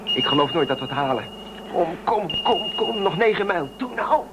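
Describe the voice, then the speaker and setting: Tense, highish-voiced male shuttle pilot. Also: expressive, normal speed. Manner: tense, terse, commanding. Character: anxious male shuttle pilot, gruff under pressure. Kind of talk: science fiction radio drama acting. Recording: noisy, rumbling craft ambience.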